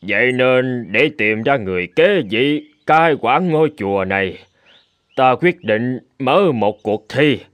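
A calm old abbot from a cartoon folk tale, addresses his pupils. His delivery is measured, solemn and teacherly, at a middling pitch, with soft music behind him. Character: old bearded temple abbot, calm, wise master. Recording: music under the voice.

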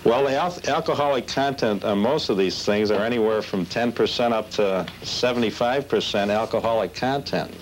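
A man with a fairly low voice talks casually, like a collector showing off his things. The recording is slightly noisy 1970s videotape. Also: moderate pace, few pauses. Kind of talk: casual show-and-tell interview.

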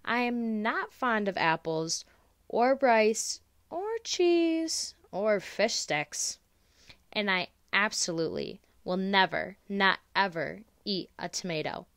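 A woman's voice: medium pitch, animated, takes it slowly, a bit bright, clean tone, dry recording, clean studio sound. A woman voicing a stubborn, fussy little girl in a picture-book story, speaking in emphatic declarations.

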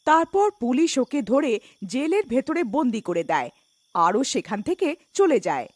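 A woman's voice: sits high, big pitch swings, measured pace, dry recording. A woman narrating a scripted cartoon story as its narrator.

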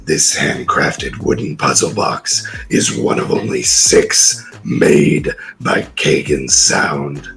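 A sly demon with an extremely low voice, dragging out each menacing phrase. The delivery is theatrical and falsely reassuring, swinging in pitch as it peddles an infernal bargain. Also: male voice, animated, a bit bright, faint music behind, heavily acted. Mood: grandly menacing. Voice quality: gravelly. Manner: slow, ominous, falsely reassuring. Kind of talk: theatrical reading of a prize description.

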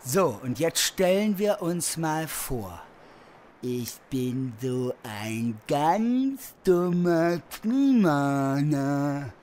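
High-voiced, slow sarcastic petitioner. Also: male voice, sing-song, a little mellow, a little hiss or hum, exaggerated voice. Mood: sarcastic, pushy. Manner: sarcastic, drawn-out. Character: pushy, sarcastic male petitioner. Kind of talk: German radio play acting.

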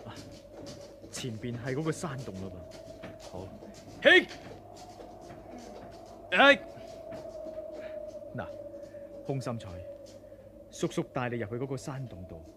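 A man's voice: mid-range voice, sing-song, takes it slowly, long pauses. A young man with a Hong Kong Cantonese accent playing an earnest, kind young hero in a radio drama. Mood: gentle. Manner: earnest, plain-spoken. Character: plain-spoken young male hero, weary and kind. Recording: old radio drama, loud crowd and noise.

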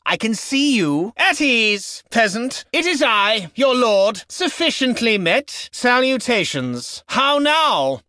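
A man's voice: very high voice, bright, forceful tone, no room sound, studio quality, heavily acted. A man voicing a haughty, imperious medieval nobleman for a video game, pompous and theatrically enunciated. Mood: haughty, disdainful. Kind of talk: video game character battle barks.

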